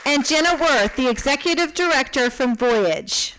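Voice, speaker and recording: A woman hosts an awards ceremony and reads the finalists' names and job titles in a clear announcing manner. Her voice is high. Crowd chatter and steady noise from the event hall sit behind her. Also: moderate pace. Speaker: awards emcee.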